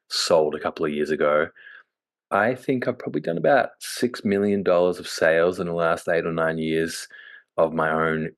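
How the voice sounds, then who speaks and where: Man, hoarse, very deep, monotone, laid-back. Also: no room sound. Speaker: panel guest. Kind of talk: casual self-introduction.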